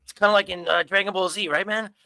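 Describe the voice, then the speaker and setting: Man playing a bright, gravelly, jittery young-blood vampire biker. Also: high-pitched, steady tone, fast, dry recording, pitched above the performer's own voice, full character voice. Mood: eager. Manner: stammering, repeats himself. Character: nervous, eager, pale biker vampire man, dim but agreeable.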